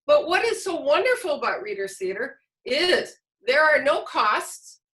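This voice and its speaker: A woman's voice: high voice, sing-song, measured pace, a bit bright. A woman presenting an online workshop in an explanatory way, posing questions to her listeners as a teacher trainer would over a video call.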